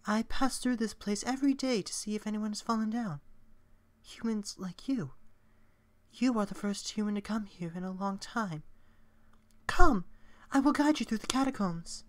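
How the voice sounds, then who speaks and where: A woman's voice: medium pitch, moderate pace, close to an everyday voice. A woman voicing a motherly goat monster caretaker from a video game, formal, careful and maternal. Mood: gentle, protective. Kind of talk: video game character impression.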